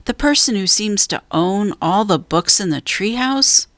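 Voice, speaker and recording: A woman's voice: mid-range voice, expressive, measured pace, a bit bright, no room sound. A woman voicing a cautious, thoughtful young boy in a children's storybook, speaking earnestly and carefully. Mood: wondering.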